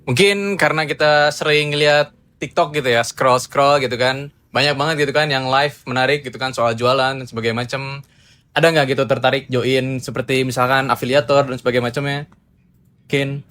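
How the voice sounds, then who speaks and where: A man's voice: mid-range voice, steady tone, a little fast, a bit bright. A young man hosting a casual podcast, upbeat and full of agreeing fillers. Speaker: podcast host. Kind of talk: casual chat. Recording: music playing behind.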